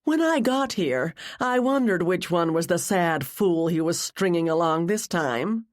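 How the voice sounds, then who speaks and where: A woman's voice: lowish voice, animated, unhurried. A woman voicing a cruel, wealthy wife in an audiobook, mocking others with bored, drawling disdain. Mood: gloating.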